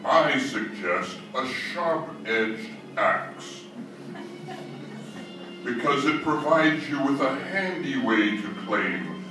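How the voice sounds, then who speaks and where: Man as macabre horror host, very slow, echoey. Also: mid-range voice, big pitch swings. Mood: gleefully macabre. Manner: wry, theatrical, deliberate. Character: macabre, gleeful male horror show host. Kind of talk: old-time radio horror hosting. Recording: echoey, distant stage recording with music.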